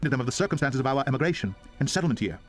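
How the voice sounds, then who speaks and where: A man's voice: mid-range voice, expressive, rapid-fire. A man giving a solemn, formal, impassioned dramatic reading of a historical document, like an orator. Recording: music behind the voice. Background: music.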